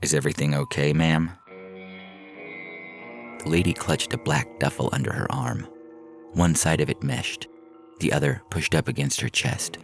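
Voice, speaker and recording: A man's voice: very deep voice, sing-song, moderate pace, creaky, soft background music. A man reading a science fiction story as narrator in a scripted audio fiction style.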